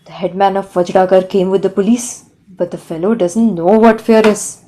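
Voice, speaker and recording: Woman playing a mellow-voiced, exasperated overseer. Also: medium pitch, fairly even intonation, moderate pace, natural voice. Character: exasperated male overseer reporting to the governor. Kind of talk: audio play acting.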